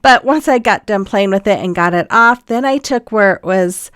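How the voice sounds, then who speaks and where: A woman's voice: medium pitch, moderate pace, a bit bright, clean tone, no room sound. Middle-aged lady with a cold, stuffy and sniffly, walking through a craft project, dry close sound. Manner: chatty step-by-step explaining with frequent ums. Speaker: craft channel host. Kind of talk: narrated DIY voiceover.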